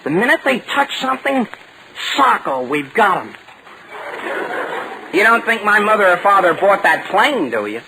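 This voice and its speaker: A man's voice: sits high, big pitch swings, moderate pace, long pauses. A man acting an eager, awkward, over-polite teenage boy in a 1940s radio comedy. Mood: scheming, eager. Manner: earnest, over-polite, evasive. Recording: noisy vintage 1940 radio broadcast.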